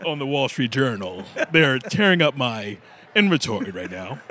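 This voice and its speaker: A man's voice: mid-range voice, very animated, a little slow, bright, forceful tone, a little hiss or hum. A man, a bladesmith chatting casually in an interview at an outdoor festival, laughing often and repeating words as he starts sentences. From South Carolina. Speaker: bladesmith guest.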